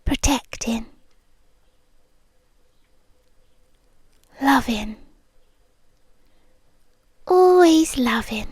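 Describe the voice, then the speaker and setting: British woman doing a sweetly menacing yandere girlfriend for asmr, high breathy voice, really slow, slips into whispers. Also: expressive, a little mellow, no room sound, clean studio sound, full character voice. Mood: hushed, intense. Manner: breathy, often dropping into whispers, slow lingering pauses. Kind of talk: intimate ASMR girlfriend roleplay. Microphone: close microphone, dry.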